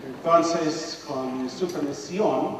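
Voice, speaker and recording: Slow halting guy, english native speaker, lots of uhs, echoey hall and far off mic. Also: a little high, fairly bright tone, slight background noise. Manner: hesitant, many pauses and fillers. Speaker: guest lecturer. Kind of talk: halting formal speech in a second language. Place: large lecture hall.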